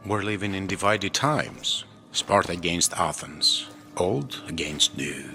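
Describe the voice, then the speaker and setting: A weary older ruler from a video game, cautious and grave. The voice is deep, with solemn, measured phrasing, and music plays clearly underneath. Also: male voice, lively intonation, bright, forceful tone, heavily acted. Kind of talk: video game cutscene acting.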